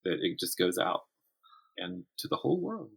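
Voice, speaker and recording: A man chatting casually as an interview guest, telling stories in animated, stop-start phrases. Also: fairly low pitch, moderate pace, gravelly, breathy edge. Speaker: podcast guest, writer and writing teacher.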